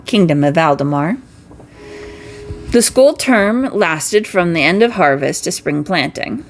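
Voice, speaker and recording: A woman reading a fantasy story aloud as an amateur, sometimes stumbling over words. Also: fairly low pitch. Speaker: amateur reader.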